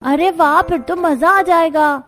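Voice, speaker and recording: बहुत पतली आवाज़ वाला उत्साही बच्चा. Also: female voice, very high voice, a little slow, fairly bright tone. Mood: delighted. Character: excited, admiring small child of the family. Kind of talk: Hindi cartoon story dialogue.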